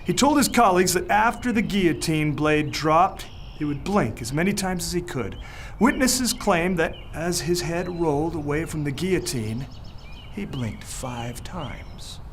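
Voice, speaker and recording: A man reading his own story aloud in a measured, suspenseful storytelling manner. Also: a little high, animated, a little hiss or hum. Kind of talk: dramatic audiobook narration.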